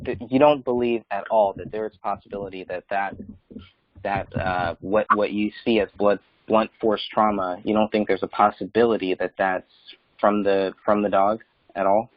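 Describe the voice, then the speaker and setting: A man's voice: on the low side, steady tone, moderate pace, dry recording. A man hosting a conference call, chatting casually and asking questions. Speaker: host. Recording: dry, muffled lo-fi call audio.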